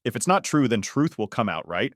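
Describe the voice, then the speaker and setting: A man's voice: fairly low pitch, lively intonation, a little fast, a bit bright, no room sound, clean studio sound. A man playing a bitter, betrayed husband in an audiobook, icy, sarcastic and accusing.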